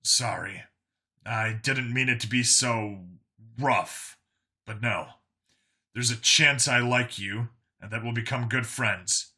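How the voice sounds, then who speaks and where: A grimly sardonic lawman in an audiobook voiced by a male narrator. His middle-pitched voice is hard and bright, and he speaks flatly and bluntly, without frills. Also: slow. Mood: flat, firm. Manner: flat, blunt, deadpan.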